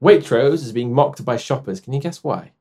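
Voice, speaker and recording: A man's voice: medium pitch, lively intonation, moderate pace, mellow. A man hosting a casual podcast, chatting in a joking, self-deprecating way and often trailing off. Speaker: podcast host. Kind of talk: casual comedic chat.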